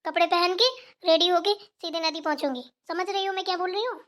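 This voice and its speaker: A woman's voice: very high-pitched, brisk, fairly bright tone. A woman voicing a sassy, vain and impatient younger sister-in-law, teasing and nagging in a cartoon style. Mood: vain, bossy. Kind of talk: Hindi family cartoon voice-over.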